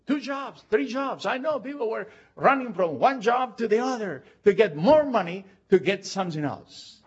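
An older man from Argentina with an Argentine Spanish accent, preaching a sermon with emphatic, drawn-out words. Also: sits high, slightly rough. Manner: animated and emphatic, drawing out key words. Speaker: pastor. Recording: dull lo-fi recording.

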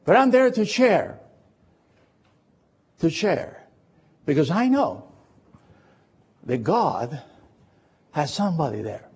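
An older man with a foreign accent preaching an expository Baptist sermon with personal anecdotes, emphatically repeating short phrases in a husky voice. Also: a little high, very animated, slow pace, pauses often, darkish tone, muffled recording.

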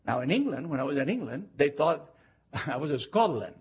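An older man from Argentina with an Argentine Spanish accent, preaching a sermon with emphatic, drawn-out words. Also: fairly high pitch, husky. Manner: animated and emphatic, drawing out key words. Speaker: pastor. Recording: dull lo-fi recording.

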